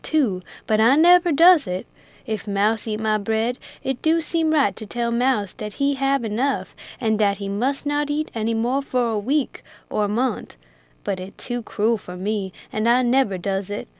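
A woman's voice: medium pitch, no room sound, lightly acted. A woman reading aloud, voicing a good-natured male ship's steward with a heavy rustic dialect and a drawling, moralizing manner.

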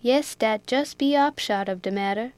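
A woman's voice: fairly high pitch, moderate pace, darkish tone, smooth, no room sound, close to an everyday voice. Woman doing a fat male galley cook, rustic dialect, coaxing, dry close voice. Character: fat sleek male ship's cook, approving.

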